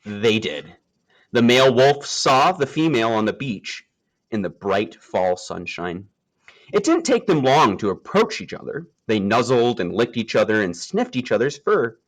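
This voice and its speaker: A man reading a children's nature story aloud, with expressive storytelling delivery and deliberate phrasing. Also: medium pitch, low-quality recording. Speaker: storybook reader.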